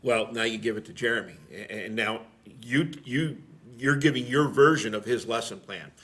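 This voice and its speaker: A man's voice: medium pitch, normal speed, fairly bright tone. A middle-aged man, a firefighter, chatting casually as a guest in an emphatic, rambling way. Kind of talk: casual chat.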